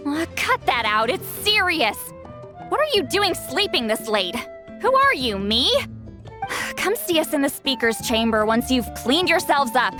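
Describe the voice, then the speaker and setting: Proud, blunt shaman, higher-pitched. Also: big pitch swings, a little slow, bright, forceful tone, soft background music, full character voice. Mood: annoyed. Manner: blunt, fretful. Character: proud, long-lived young-looking shaman woman, easily exasperated. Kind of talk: anime game voice acting.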